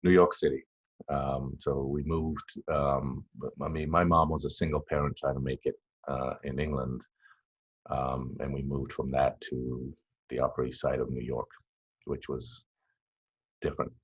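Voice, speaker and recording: A man in his fifties with an extremely deep, husky voice talks in a flat, even tone. He pauses often, restarting sentences as he reflects. The recording sounds dull and lo-fi. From Tanzania. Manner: halting and reflective, many fillers and restarts. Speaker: podcast guest. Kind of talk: casual interview chat.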